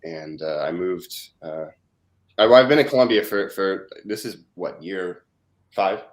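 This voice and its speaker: A man in his twenties from Russia, answering interview questions in a hesitant, halting way with many fillers. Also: low-pitched, animated. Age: 20s. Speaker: interview guest.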